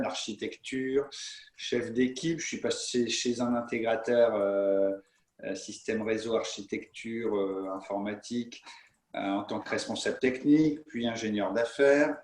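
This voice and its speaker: A middle-aged man giving an informal presentation on a video call, halting and often repeating his words. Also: medium pitch. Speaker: guest speaker, winegrower. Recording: roomy, echoey video-call sound.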